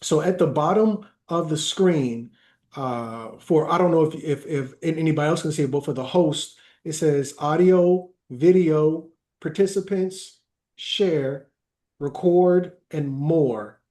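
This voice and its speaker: A man hosting a workshop over a video call, talking slowly and hesitantly with many fillers. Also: fairly high pitch, dark tone. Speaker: workshop host. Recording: roomy echoey video call audio.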